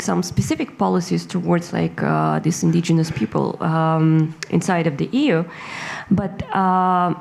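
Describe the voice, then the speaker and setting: Female panelist, low with vocal fry, reflective and tentative. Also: a little mellow. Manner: thoughtful, hedging with fillers. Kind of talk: panel discussion.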